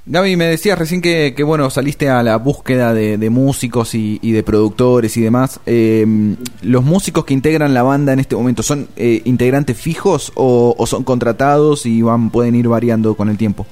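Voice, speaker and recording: A man's voice: medium pitch, moderate pace, few pauses, a little mellow. A man with a Rioplatense accent interviewing a musician, talking casually with many fillers. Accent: Rioplatense Spanish. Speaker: interviewer, host.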